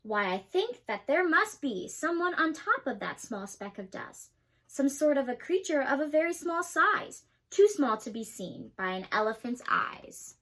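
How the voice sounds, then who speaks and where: A young woman reading as a big, gentle, earnest elephant who pleads his case with a bright, high, lively voice. He is sincere and protective, speaking in storybook rhyme. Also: measured pace, full character voice. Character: kind earnest male elephant, large and gentle.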